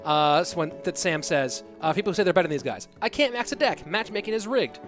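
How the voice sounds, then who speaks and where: A man casually casting an esports stream, reading viewer chat aloud and answering in a relaxed, rambling way. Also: high-pitched, fast, faint music behind.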